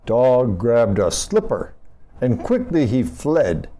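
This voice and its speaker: A man with a mellow voice reads a children's rhyming storybook. His pitch swings widely as he goes, animated and aimed at little listeners. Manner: unhurried, animated, addressing young listeners. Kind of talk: expressive read-aloud of a rhyming picture book.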